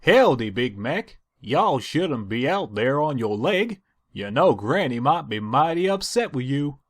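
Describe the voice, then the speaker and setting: Man putting on a folksy Southern farm mare, lively pitch. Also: measured pace. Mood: friendly, tired. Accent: American Southern. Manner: folksy country drawl. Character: hard-working young female farm pony, folksy and bossy.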